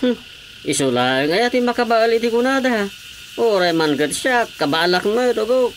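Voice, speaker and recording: A man's voice: high voice, lively intonation, moderate pace, slight background noise. A man acting an ambitious, mocking village councilor with a dismissive manner in an Ilocano radio comedy drama, with an Ilocano Filipino accent. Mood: scornful, boastful.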